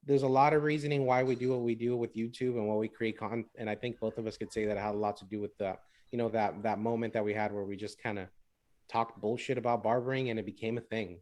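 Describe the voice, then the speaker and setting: A man's voice: on the low side, steady tone, quick pace, darkish tone. Rambling man casually co-hosting a podcast. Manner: rambling, repeats words, mixes in Spanish phrases. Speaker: podcast co-host. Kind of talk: casual chat.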